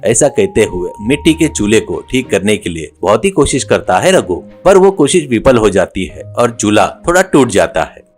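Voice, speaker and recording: A man's voice: lowish voice, sing-song, moderate pace, few pauses, faint music behind. A man narrating a scripted story in a storyteller's role.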